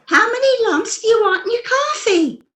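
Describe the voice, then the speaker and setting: A woman's voice: animated, moderate pace, a bit bright, roomy sound. A woman playing a sweet, ditzy, cheerful wife who coos innocently, in an old-time radio comedy style. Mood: chirpy, oblivious. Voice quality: very high, girlish. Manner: cooing, innocent.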